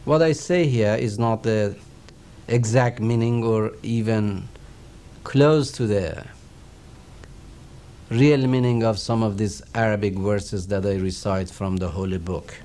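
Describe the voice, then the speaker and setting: Slow, mellow man with a Persian accent. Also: lowish voice. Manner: slow, measured, reverent recitation and explanation. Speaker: Quran teacher. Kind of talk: recorded religious lecture.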